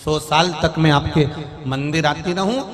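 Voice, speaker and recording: An Indian man preaching a devotional sermon to a large crowd. He rises and falls in pitch and urges the listeners to call out their answers. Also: fairly even intonation, unhurried. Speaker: preacher telling a Shiva scripture story. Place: large venue with a crowd and speakers. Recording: live sermon in a long reverberant hall with music behind.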